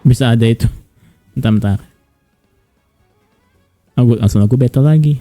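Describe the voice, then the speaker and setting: A man's voice: medium pitch, brisk, mellow, no echo, faint music behind. A man from Indonesia with an Indonesian accent, giving casual game commentary as a streamer.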